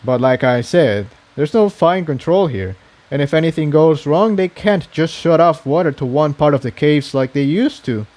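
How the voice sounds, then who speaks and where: A man's voice: fairly high pitch, animated, moderate pace, a little mellow, natural voice. A man voicing an earnest, practical young male unicorn pony who explains things matter-of-factly in a story. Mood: confident.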